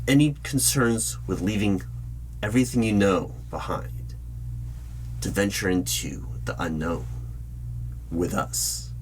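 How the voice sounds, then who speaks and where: A man playing a polite, probing hiring manager in an ASMR interview roleplay, speaking in measured phrases with deliberate pauses. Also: lowish voice, rough recording. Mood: reassuring, inquiring. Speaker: job interviewer. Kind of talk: ASMR roleplay, spoken aloud. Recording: background noise with keyboard typing. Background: computer keyboard typing.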